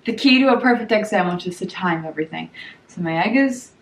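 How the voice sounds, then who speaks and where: Young woman, gravelly vocal fry, chatty and giggly, hungover, roomy kitchen echo. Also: mid-range voice, expressive, a little fast. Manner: chatty, giggly, lots of likes and ums. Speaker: cooking vlogger. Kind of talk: casual chatty cooking vlog. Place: home kitchen and dining room, indoors.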